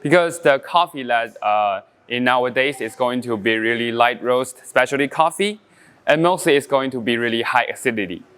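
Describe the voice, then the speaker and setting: Taiwanese barista, mid-pitched and clean-toned, relaxed demo delivery with pauses and fillers. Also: male voice, clear, resonant tone. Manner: halting non-native English with frequent fillers, explaining step by step. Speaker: coffee brewing champion. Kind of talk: casual brewing demonstration.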